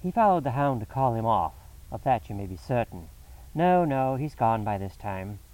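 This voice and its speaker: A cool, incisive detective speaks in a crisp, measured way, read by a male narrator close to his own voice. His pitch sits at an ordinary male middle. The voice sounds a little set back from the microphone. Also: big pitch swings, darkish tone, recorded from a distance, lightly acted, poor audio.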